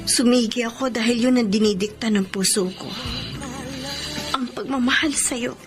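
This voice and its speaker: A woman's voice: a little high, normal speed, lightly acted. An actress in a Filipino radio drama playing a devoted, wounded woman leaving her partner, in a heartfelt, melodramatic way. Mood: tearful, sincere. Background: background music.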